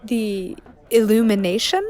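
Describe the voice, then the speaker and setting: Woman acting an earnest undercover reporter, medium pitch. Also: fairly even intonation, measured pace, a little mellow, natural voice. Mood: probing. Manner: probing, serious. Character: earnest, suspicious undercover female investigative reporter. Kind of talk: documentary-style scripted narration in radio play.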